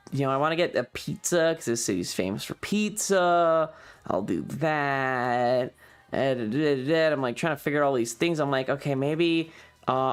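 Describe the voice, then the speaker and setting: A streamer with an androgynous voice chats through a casual story over background music. The delivery is slow and rambling, with an exasperated manner and a voice that is starting to give out a little. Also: medium pitch. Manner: rambling, exasperated, acts out quoted dialogue. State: voice slightly going.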